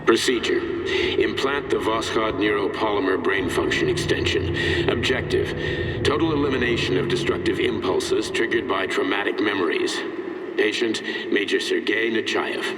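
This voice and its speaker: A man's voice: fairly low pitch, normal speed, natural voice. Man acting grave male scientist, formal clinical dictation, long reverb, distant from the mic, video game log. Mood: cold, detached. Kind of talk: scripted clinical log narration. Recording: echoey distant playback with loud noise.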